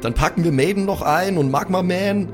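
A man improvising role-play as a tabletop player in halting, slangy, colloquial speech. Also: sits high. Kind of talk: improvised in-character role-play mixed with casual table talk. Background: background music.

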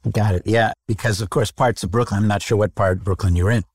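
A man's voice: lowish voice, steady tone, quick pace, slightly rough, no echo, clean studio sound. A man hosting an interview, asking curious questions in a chatty, affirming way. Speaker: host. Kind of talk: conversational interview.

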